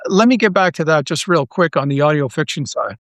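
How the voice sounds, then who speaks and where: A man's voice: a little high, animated, fairly quick, fairly bright tone, dry recording, studio quality. A man speaking casually as a podcast guest and creator, self-deprecating and often repeating his words. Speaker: interview guest, audio drama creator.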